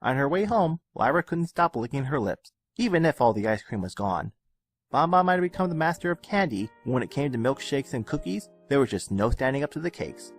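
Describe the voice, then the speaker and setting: Animated man narrating a story. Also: mid-range voice, fairly quick, no room sound. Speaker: audiobook-style reader of fan fiction. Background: background music.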